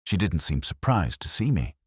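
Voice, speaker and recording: Brooding older detective , male actor, extremely low voice with expressive swings, formal and reflective first-person drama narration. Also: moderate pace, husky, no room sound, clean studio sound, heavily acted. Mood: grave, restrained.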